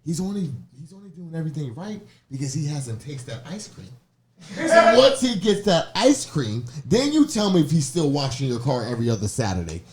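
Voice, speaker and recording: An American man hosting a casual podcast, telling animated, slangy stories and acting out what people said. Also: fairly high pitch, fairly quick. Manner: animated storytelling, slangy, acts out quoted remarks. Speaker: podcast host. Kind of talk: casual group banter and opinionated rants.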